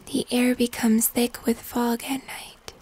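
A young woman playing a gentle, mysterious moth-human hybrid in fantasy ASMR, speaking in soft, breathy whispers. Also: fairly high pitch, flat delivery. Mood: gentle, guiding. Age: young adult. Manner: slow, hushed, mysterious, gentle. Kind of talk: cinematic fantasy ASMR roleplay.

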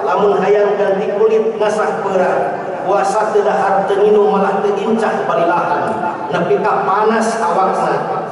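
High-voiced Sundanese preacher in echoey hall. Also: male voice. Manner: measured, rhythmic oratory with Arabic phrases. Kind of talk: Eid sermon in formal Sundanese. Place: large mosque hall full of worshippers. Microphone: stand mic at a lectern, filmed from far. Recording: echoey mosque hall from far off. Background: crowd of worshippers.

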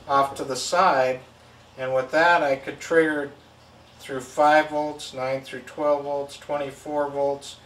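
Middle-aged man, casual instructional demo, plain step-by-step explaining, slightly high voice, roomy distant mic. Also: a bit bright. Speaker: product demonstrator. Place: indoor tabletop demo setup with backdrop. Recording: echoey, distant mic with background noise.

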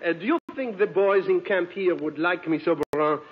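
A man's voice: sits high, normal speed, lightly acted. An actor playing an affected, fussy male fashion designer in a 1940s radio comedy. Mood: fussy, explaining. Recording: dull, noisy 1940s radio broadcast.

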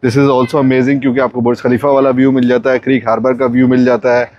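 A man's voice: mid-range voice, level tone, fast, lo-fi sound. Chatty male vlogger on a boat deck. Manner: chatty, mixes English phrases, repeats "जो है ना". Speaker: travel vlogger. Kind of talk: casual walkthrough vlog. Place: outdoors on a cruise boat deck.

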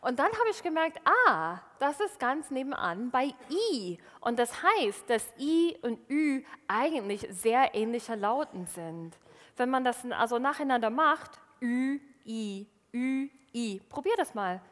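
A woman in her thirties from Wisconsin, a native English speaker with an American accent, giving a lively, self-mocking stage talk in a large hall. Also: fairly high pitch, a little slow. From Wisconsin, USA. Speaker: science slam speaker. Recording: reverberant hall.

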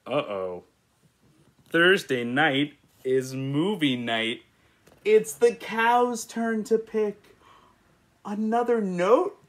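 A man in his twenties with an American accent reads a picture book to young children in a playful, expressive way, asking the listener questions. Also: high voice, very slow, pauses often. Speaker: children's story reader. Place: indoors, small room in front of a patterned cloth.

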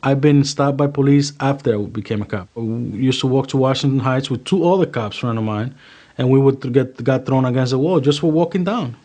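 A man from the Dominican Republic, a police officer in his thirties, giving earnest, measured testimony in a documentary interview and repeating phrases for emphasis. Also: mid-range voice, mellow. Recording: music bed playing behind voice. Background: guitar music.

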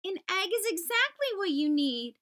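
Woman as an eager, squeaky little schoolkid. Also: big pitch swings, moderate pace, bright, forceful tone, full character voice. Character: eager, helpful little alphabet letter schoolchildren. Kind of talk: children's storybook read-aloud.

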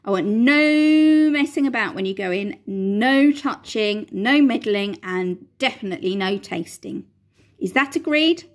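A woman doing an excitable, bossy chocolate maker. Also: a little high, unhurried. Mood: excited stern. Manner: bright, bossy, gleefully excited. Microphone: phone camera at close range.

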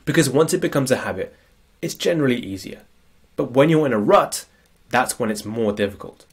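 Husky, sniffly man coaching. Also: mid-range voice, lively intonation, a little fast. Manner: conversational, frequent tag questions. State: sniffly with a cold. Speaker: productivity coach. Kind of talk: screen-share lecture.

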